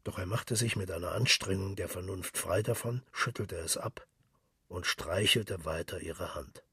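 A man with a husky, gravelly voice reads a literary story in as a dramatic radio narration, brooding and measured. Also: deep voice, a bit bright, no room sound, clean studio sound.

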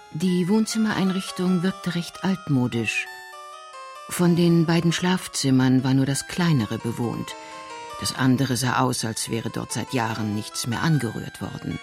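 A woman's voice: rumbling low, unhurried, darkish tone, soft background music. A woman narrating a scripted crime story for a radio play in a composed, precise manner.

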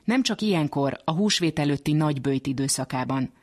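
A man's voice: a little high, animated, darkish tone. A man presenting in scripted documentary narration, as on a radio science programme.

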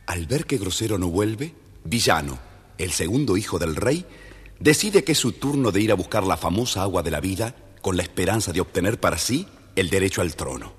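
A man with an Argentine accent narrating a scripted children's story, expressive and theatrical. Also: deep voice, fairly quick, husky, long reverb tail, soft background music.

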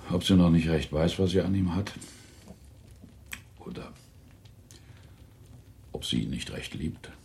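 Very deep, gravelly, teasing older husband. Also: male voice, measured pace, warm tone, roomy sound, slight background noise. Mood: worried, probing. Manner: musing, wry, rambling. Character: genial, teasing, worrying older husband and father. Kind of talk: German radio drama acting.

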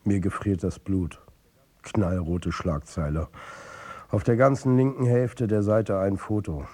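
A troubled football coach who confides his own story in a radio drama. His low voice is mellow and somewhat husky, a family man narrating in the first person. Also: measured pace. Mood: brooding, subdued. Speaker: narrator and lead character.

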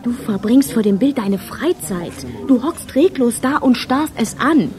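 A jealous, sharp-tongued wife in a radio play. Her voice sits fairly high, and she is indignant, sarcastic and demanding. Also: animated, a little slow. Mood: angry. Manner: biting, sarcastic. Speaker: radio drama actress. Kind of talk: German radio drama dialogue.

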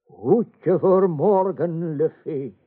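A man playing a dying, ancient wizard in a radio drama, grave and urgent, drawing out his words. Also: on the high side. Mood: grave warning. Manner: drawn-out, grave. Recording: narrow, phone-like old radio sound.

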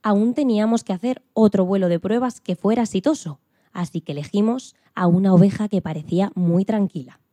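A woman playing an excitable farm hen astronaut who tells her own story with playful dramatic emphasis in a children's radio play. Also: medium pitch, brisk, dry recording, natural voice. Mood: excited, dramatic. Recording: music behind the voice.

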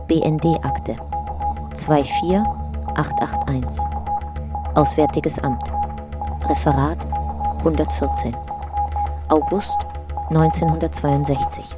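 A impersonal file reader in a radio drama. Her low voice is clipped and official, set over prominent music. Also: fairly even intonation, slow pace, pauses often. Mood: neutral, official. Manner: matter-of-fact, official. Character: impersonal female narrator reading out secret files. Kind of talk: clipped documentary-style scripted narration.

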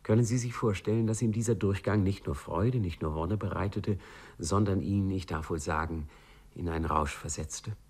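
Wry narrator for a 1950s radio play: measured, literary, urbane, with a husky low voice. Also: male voice, a little mellow. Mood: wry, relishing. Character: wry, urbane male storyteller.

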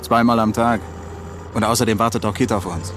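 An actor playing an affable, successful lawyer and husband in a 1960s German radio play. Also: male voice, fairly low pitch, steady tone, brisk, fairly bright tone, soft background music, close to an everyday voice.

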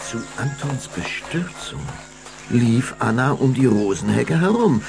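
A man's voice: mid-range voice, lively intonation, measured pace, creaky, darkish tone. A man narrating a German children's vampire audio play as a suspenseful, dramatic storyteller. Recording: music behind the voice.

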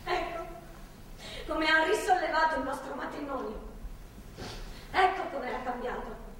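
Distant, very reverberant stage drama: bitter young woman held captive, high voice, sarcastic, agitated. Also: animated, fairly bright tone. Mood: shaken, bitter recounting. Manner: foul-mouthed, agitated, repetitive and halting. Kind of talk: theatrical stage drama acting. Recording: echoey, distant theatre recording, faint noise.

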